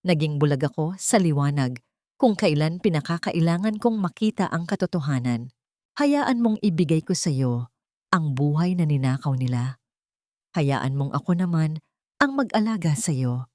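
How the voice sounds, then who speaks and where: A woman's voice: sits low, animated, normal speed, mellow, dry recording, studio quality. A woman voicing a remorseful young man in love in an audio drama, pleading earnestly. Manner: pleading, emotive.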